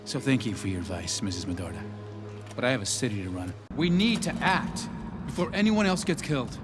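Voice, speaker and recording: An actor playing an earnest, idealistic young inventor turned city councilor in an animated drama, intense and resolute. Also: male voice, mid-range voice, a little fast, natural voice. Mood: firm, proud. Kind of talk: dramatic animated series dialogue. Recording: dialogue over clear background music. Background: music.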